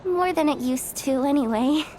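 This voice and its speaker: A woman's voice: high voice, normal speed, background noise, close to an everyday voice. A woman playing a friendly, easygoing but overworked young woman in an anime video game, speaking casually. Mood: relieved. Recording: music behind the voice.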